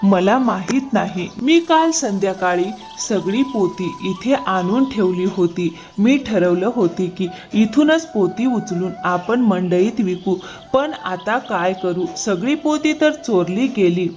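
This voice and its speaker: A woman voicing a greedy, deceitful farmer man in a moral-story cartoon, flattering and easily flustered. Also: medium pitch, slow pace, hardly any pauses, soft background music. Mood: feigned alarm.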